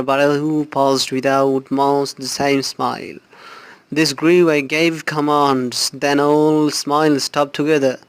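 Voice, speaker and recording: A man recites a poem in a dramatic style, in measured phrases with frequent pauses. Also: on the high side, smooth, no room sound. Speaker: poetry reciter. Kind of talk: slow dramatic poetry recitation. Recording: music playing behind the voice. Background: music.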